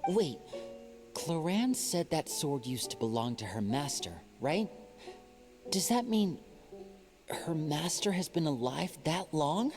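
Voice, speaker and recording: A woman's voice: low-pitched, big pitch swings, takes it slowly, long pauses, music in the background, full character voice. A woman voicing a curious young traveler character in a game, inquisitive. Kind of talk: game voice acting.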